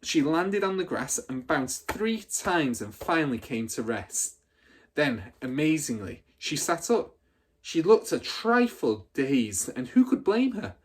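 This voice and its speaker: A man's voice: fairly high pitch, sing-song, a little slow, husky. A man reading a children's story aloud as a teacher would, with dramatic, emphatic expression.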